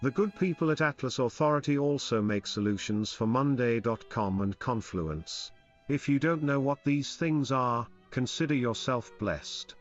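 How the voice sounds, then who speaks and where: A male podcast host delivers a scripted promo in a mid-pitched voice with tongue-in-cheek humor. The recording is a little dull, with faint music underneath. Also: lively intonation, normal speed. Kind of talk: scripted sponsor read and supporter thanks.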